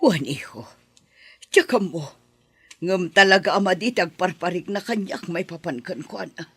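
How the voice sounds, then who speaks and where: A woman voicing a husky, worried grandmother. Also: lowish voice, expressive, normal speed, no room sound. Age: older. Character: elderly grandmother fretting over kidnapped grandchild. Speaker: mother and grandmother. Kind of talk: radio drama acting.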